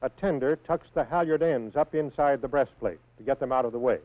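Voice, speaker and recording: A man reading scripted instructional narration for a training film in a measured, precise manner. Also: medium pitch, no room sound. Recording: tinny band-limited old film soundtrack.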